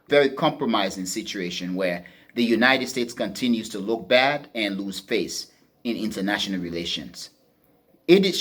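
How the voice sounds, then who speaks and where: A man speaking as a commentator, unscripted, in a deliberate, emphatic manner, often rephrasing himself. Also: medium pitch. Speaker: podcast host and political commentator.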